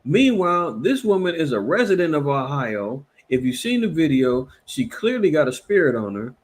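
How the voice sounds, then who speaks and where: A man speaks in a somewhat high voice. He rambles through casual reaction commentary, animated and emphatic, with lots of repeats and fillers. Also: normal speed. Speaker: commentary show host.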